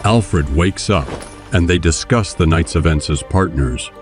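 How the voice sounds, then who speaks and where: A man narrates an audio drama from a script. His voice sits very low, and music plays clearly beneath it. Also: unhurried.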